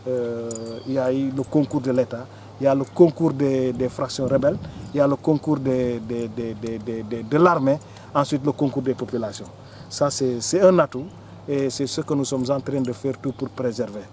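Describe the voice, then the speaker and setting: A man with a Senegalese accent giving an interview answer as a local organiser, speaking haltingly and repeating words as he searches for phrasing. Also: medium pitch, steady tone, a little mellow, slight background noise. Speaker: local official or forum organiser.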